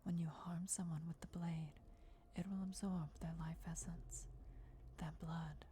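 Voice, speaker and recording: A woman plays an ancient vampire countess in a fantasy ASMR roleplay, whispering with courtly, devoted formality. Her voice is rather low and very mellow, measured and reverent. Background noise and ambience sit behind it. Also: fairly even intonation, natural voice. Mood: solemn, proud.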